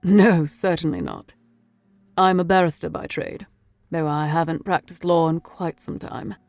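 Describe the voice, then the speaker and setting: A woman voicing a cultured British gentleman barrister in an audiobook, precise, courteous and aloof. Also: lowish voice, fairly even intonation, unhurried, no echo. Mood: polite, composed. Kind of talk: audiobook character voice.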